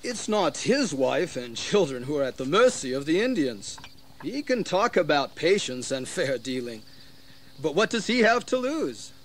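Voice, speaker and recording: Animated, scheming colonial frontiersman. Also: on the high side, measured pace, slight background noise. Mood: goading. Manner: insinuating, persuasive, theatrical. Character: shrewd, scheming colonial frontiersman urging rebellion. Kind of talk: dramatized history audio acting.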